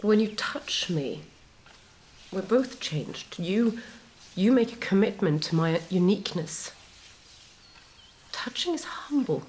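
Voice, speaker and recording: A woman playing a thoughtful, tender hero in a dramatic reading of a philosophical futuristic story, speaking contemplatively with pauses mid-phrase. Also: medium pitch, sing-song, darkish tone, a little hiss or hum. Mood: tender, earnest.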